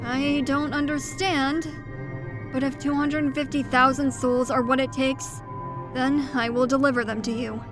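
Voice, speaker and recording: This is a woman acting as an anxious young goat girl in a fantasy audio drama. The voice is very high, earnest and polite, often hesitant, over clearly heard music. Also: level tone, measured pace. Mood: resolved.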